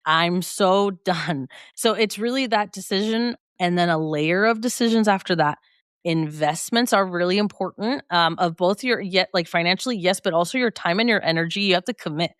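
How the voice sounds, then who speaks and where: A woman's voice: fairly low pitch, normal speed, a bit bright, clear, resonant tone, no echo, clean studio sound. A woman guest in a conversational interview, reflective and upbeat, often laughing mid-sentence.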